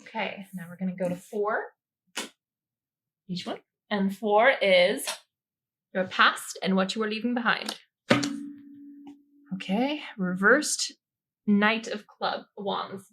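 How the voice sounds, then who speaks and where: A woman's voice: mid-range voice, takes it slowly, long pauses, fairly bright tone, a little hiss or hum, rough recording. A woman co-hosting a podcast, giving a tarot reading in an informal, joking way and reading card meanings aloud.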